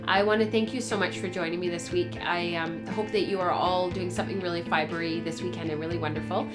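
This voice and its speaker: Low-pitched woman chatting, slightly worn by a cold. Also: steady tone, quick pace, loud music behind the voice. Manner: informal, rambling, thinking aloud. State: getting over a cold, voice tiring. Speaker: host of a spinning and fibre craft show. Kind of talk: casual chat to viewers.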